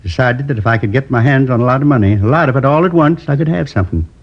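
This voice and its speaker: An actor playing a lonely man in his seventies who confesses to a robbery in a slow, rambling, wistful way, in 1950s radio drama acting. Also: medium pitch, natural voice. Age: 70s. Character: lonely old male drifter turned robber. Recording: dull old radio broadcast.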